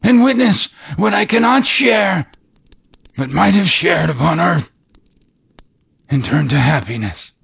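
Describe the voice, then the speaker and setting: Wailing chained ghost. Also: male voice, lively intonation, a little slow, creaky, pauses often, heavily acted. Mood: mournful wailing. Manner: high quavering wail, rising to cries. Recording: dull lo-fi recording with faint hiss.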